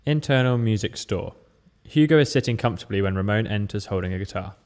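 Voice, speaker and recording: A man's voice: fairly low pitch, lively intonation, quick pace, darkish tone. A man narrating scripted stage directions at a table read.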